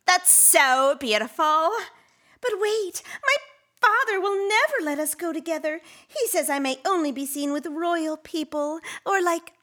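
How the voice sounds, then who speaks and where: A woman's voice: very high-pitched, expressive, measured pace, sharp tone, heavily acted. A woman voicing an eager, romantic young princess in a gushing, theatrical way for a children's comic story. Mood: wistful.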